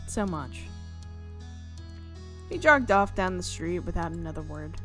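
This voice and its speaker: A woman's voice: on the low side, lively intonation, unhurried, fairly bright tone. A woman game streamer reading visual novel narration aloud, breaking off into chatty, exclamatory asides. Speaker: gaming channel host. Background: game music.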